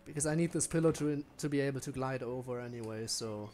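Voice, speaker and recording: A man's voice: medium pitch, fast, dark tone. A man giving casual gameplay commentary as a streamer, dryly talking to himself. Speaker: game streamer.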